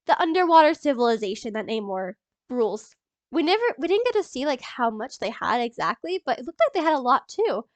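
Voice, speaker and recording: A young woman with a stuffy nose from a cold, rambling excitedly in a casual movie reaction vlog, full of ums and little laughs. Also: high-pitched, brisk, no echo. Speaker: YouTuber.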